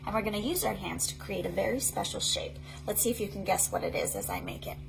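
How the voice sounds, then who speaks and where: A woman in her thirties presenting a storytime for young children in an animated, sing-song, encouraging way. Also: medium pitch, fast talker, vocal fry, noisy background. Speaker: children's librarian hosting an online storytime. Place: small indoor play tent set up for recording.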